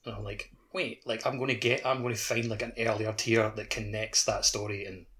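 A man's voice: lowish voice, level tone, a little fast, a bit bright, slightly rough. A man on a gaming podcast panel, talking casually. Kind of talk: casual chat.

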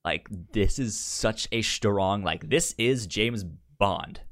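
A man co-hosting a casual film discussion podcast, speaking with a pronounced stutter that repeats sounds and syllables in a halting delivery. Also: lowish voice, fairly bright tone. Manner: halting, hesitant delivery with frequent restarts. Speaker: podcast co-host.

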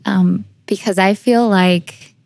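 A young woman hosts a casual discussion in a fairly flat, mid-pitched voice. She phrases her thoughts tentatively and informally, recorded close with little room sound. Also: slow, vocal fry, no echo. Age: young adult. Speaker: podcast interviewer. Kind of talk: casual conversational interviewing.

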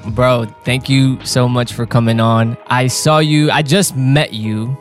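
A man's voice: medium pitch, fairly even intonation, unhurried, faint music behind. A man hosting a podcast, introducing and interviewing a guest in a friendly, upbeat, casual way. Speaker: podcast host.

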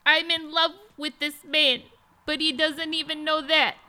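Woman playing a high, melodramatic lovesick cashier. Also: level tone, unhurried, sharp tone, full character voice. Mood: lovesick, anguished. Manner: overwrought, declamatory. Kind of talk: comic dramatic reading.

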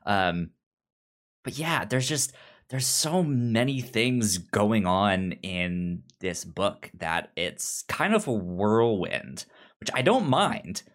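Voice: medium pitch, expressive. A podcast co-host chatting casually about comics, stammering and often repeating the starts of words. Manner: halting, stammering, repeats word beginnings. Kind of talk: casual comic book discussion.

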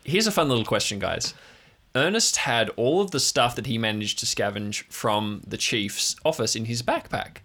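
A man's voice: medium pitch, expressive, normal speed, bright, forceful tone. A man acting as game master, narrating an improvised tabletop game and describing scenes to players.

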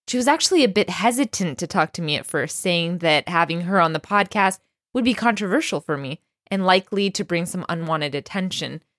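A woman's voice: fairly low pitch, a bit bright, no room sound. Woman podcast host, close dry sound. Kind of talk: scripted introduction, then casual interview chat.

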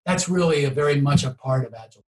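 A man's voice: on the high side, fast, rough recording. A man speaking conversationally as an expert guest in an interview, explaining his points and checking in with rhetorical questions.

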